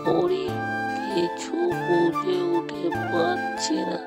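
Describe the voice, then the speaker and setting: A woman voicing an anxious little bird husband in a children's cartoon, with hesitant, drawn-out phrasing. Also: very high voice, huge pitch swings, vocal fry, over loud music, voice pushed down, exaggerated voice. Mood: worried.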